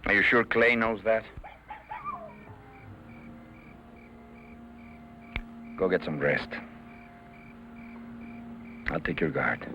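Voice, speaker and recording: Calm cowboy gunman, low voice, guarded and measured, muffled film sound with some hiss. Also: creaky, heavily acted. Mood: probing. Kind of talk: old western film dialogue. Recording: dull, noisy outdoor film audio.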